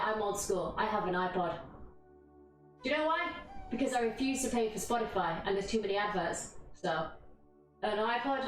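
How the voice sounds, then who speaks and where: A woman with a London accent leading a casual live yoga class, chatting and bantering informally with viewers. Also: medium pitch. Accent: London English. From London, England. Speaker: yoga instructor and live streamer. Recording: echoey room, distant mic, music behind. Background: music playing.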